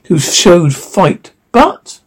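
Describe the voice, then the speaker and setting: A man reading a fairy tale aloud in a halting way, sometimes stumbling and correcting himself. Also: sits high, very animated. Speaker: story reader.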